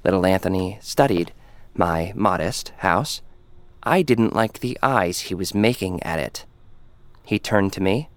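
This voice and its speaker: A man narrating a first-person science-fiction audio drama in a dry, deadpan manner. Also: low-pitched, measured pace.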